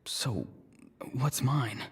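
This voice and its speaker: A man's voice: medium pitch, drawn-out words, slightly rough, exaggerated voice. A man playing an earnest, troubled young boy in a video game, pensive, with music behind. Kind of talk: scripted video game acting. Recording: long reverb with game music.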